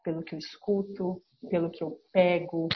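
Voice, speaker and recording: A woman's voice: fairly low pitch, fairly even intonation, moderate pace, highs cut off, a little hiss or hum. Brazilian woman explaining as podcast host. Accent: Brazilian Portuguese. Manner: didactic, explains step by step. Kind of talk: podcast lesson.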